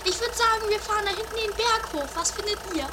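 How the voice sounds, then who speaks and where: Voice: very high-pitched, fast, background noise. An actor playing a bossy, scatterbrained, sarcastic sister in an improvised comedy radio play. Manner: exclamatory, sarcastic, many blurted interjections.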